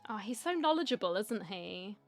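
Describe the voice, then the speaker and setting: A woman's voice: medium pitch, big pitch swings, moderate pace, faint music behind. A woman giving casual, jokey playthrough commentary with dry asides into a close mic. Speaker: game streamer.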